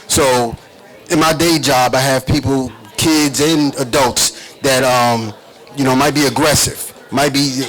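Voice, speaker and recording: A man's voice: medium pitch, poor audio. A man giving an explanatory interview answer as an author and therapist.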